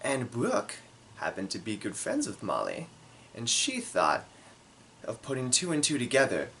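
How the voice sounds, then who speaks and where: A man tells a comic story about himself with animated, widely varied intonation. He is theatrical and self-mocking, pausing for drama. Faint noise sits behind his voice. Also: medium pitch. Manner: theatrical, dramatic pauses, acts out dialogue; self-deprecating. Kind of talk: comedic autobiographical storytelling.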